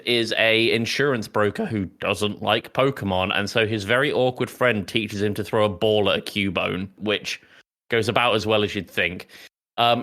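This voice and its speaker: A man's voice: on the low side, fairly bright tone. A man co-hosting a podcast, recapping a film in a casual, rambling way that often interrupts itself. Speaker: podcast co-host.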